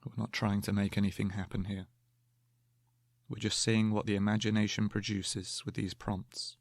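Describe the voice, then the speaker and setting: A man leads a guided meditation in a low, even voice with a noticeable creak at the edges. He speaks gently and calmly, placing each instruction carefully and letting pauses open up between phrases. The sound is dry and close, with very little room around it. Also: no echo. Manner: calm, gentle, measured with long pauses.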